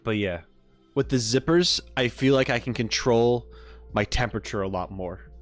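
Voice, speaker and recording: A man's voice: medium pitch, normal speed. A man chatting casually to his livestream viewers as host, in an informal, rambling, self-deprecating joking way. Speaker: livestream host, game reaction streamer. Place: home streaming setup with green screen. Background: music.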